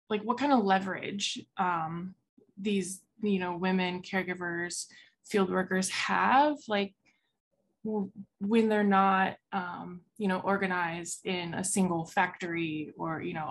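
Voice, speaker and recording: Woman, mid-pitched voice, hesitant, self-deprecating, lots of likes and ums. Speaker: audience member asking a question. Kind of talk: online seminar question.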